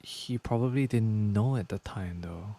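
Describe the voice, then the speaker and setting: A woman's voice: very deep voice, unhurried, mellow, a little hiss or hum. A woman streaming a game, giving casual, murmured reaction commentary.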